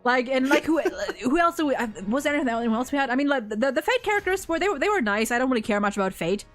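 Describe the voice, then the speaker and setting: A woman livestream host chatting casually and excitably, rambling as she goes. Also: sits high, fast talker, soft background music. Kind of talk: casual chat.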